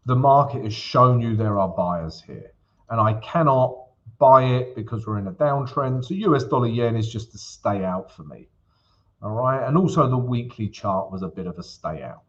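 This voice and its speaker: A man hosting a live trading stream, chatty and casual, checking in with the audience as he walks through charts. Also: mid-range voice. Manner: chatty, peppers lines with "yeah?" and "guys". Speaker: forex livestream host. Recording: slightly dull livestream audio.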